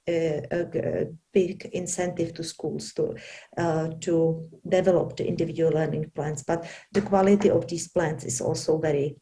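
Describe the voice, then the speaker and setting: A woman with a Czech accent giving a panel presentation, halting often with fillers and restarts. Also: lowish voice, mellow. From Czech Republic. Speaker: academic panelist.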